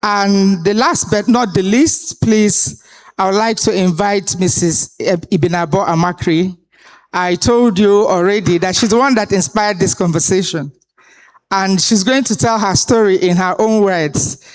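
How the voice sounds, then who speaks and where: A woman, moderating a live conference panel and introducing the speakers in a deliberate, authoritative way. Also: medium pitch. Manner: deliberate, authoritative delivery with pauses. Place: conference hall stage.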